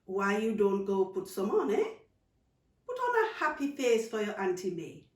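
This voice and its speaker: Woman doing a big-hearted auntie from a kids story, teasing, stretches words, bouncy pitch, mic far off. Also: huge pitch swings, a little mellow. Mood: coaxing, concerned. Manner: teasing questions, drawn-out emphatic phrasing, dialect grammar. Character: playful, nurturing aunt, big-hearted and teasing. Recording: roomy, distant microphone.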